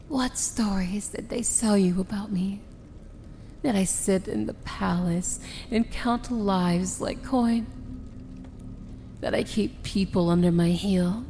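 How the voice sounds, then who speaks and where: A woman acts out a regal princess of evil in a fantasy romance ASMR piece. She speaks slowly and deliberately at a medium pitch, proud and wry with a tender, hushed edge. Background ambience sits audibly under the voice. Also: a little hiss or hum. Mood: amused, defiant. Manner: theatrical, deliberate, often dropping to a hushed whisper. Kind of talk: ASMR fantasy romance roleplay, intimate dramatic monologue.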